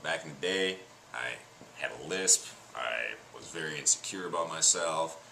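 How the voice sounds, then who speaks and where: Man, deep voice, very low pitch, rambling casual answers, noisy recording. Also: moderate pace, vocal fry. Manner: relaxed, rambling with fillers. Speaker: teacher being interviewed.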